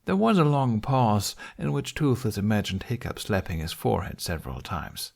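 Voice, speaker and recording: A man reading a story as an audiobook narrator, in an expressive scripted style. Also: fairly low pitch, moderate pace, creaky.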